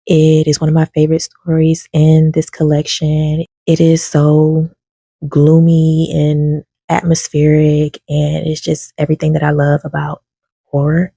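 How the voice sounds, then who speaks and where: Calm, low-key woman with a gravelly voice. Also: dry recording. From lives in Kentucky. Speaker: book reviewer. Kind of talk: casual recommendation talk to camera.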